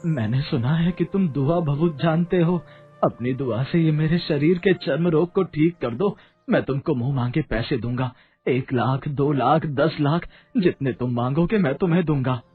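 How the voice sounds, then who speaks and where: A man's voice: fairly high pitch, normal speed, husky. A man voicing a rich, whining, hot-tempered merchant in a dramatized story, theatrical and blustering. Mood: miserable, pleading. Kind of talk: dramatized Hindi moral story acting. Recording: dull, muffled audio under music.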